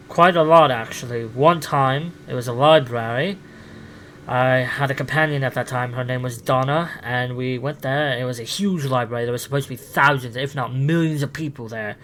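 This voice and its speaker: A man's voice: mid-range voice, fairly bright tone. A man improvising a casual roleplay conversation. He talks in a hesitant, rambling way with lots of fillers, in a mid-range voice. Faint background noise runs under him. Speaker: player roleplaying with an AI character.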